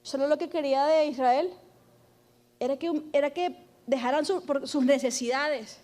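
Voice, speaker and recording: Woman pastor, high voice, loud emphatic preaching, call-and-response sermon. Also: normal speed.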